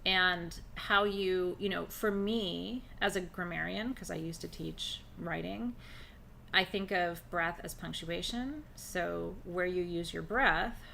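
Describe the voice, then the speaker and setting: Low-voiced woman with gravelly edge. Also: takes it slowly, bright, forceful tone, slight background noise. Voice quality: naturally low voice. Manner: conversational, intentional pacing, laughs often. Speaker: spiritual teacher. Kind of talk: live online class on shamanic drumming.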